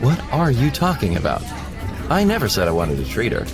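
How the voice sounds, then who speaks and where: A man's voice: medium pitch, wildly swooping pitch, fairly quick, a crowd in the background. A man playing a leering airplane flirt. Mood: smug. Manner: smug, leering. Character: sleazy flirting male airline passenger. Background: music.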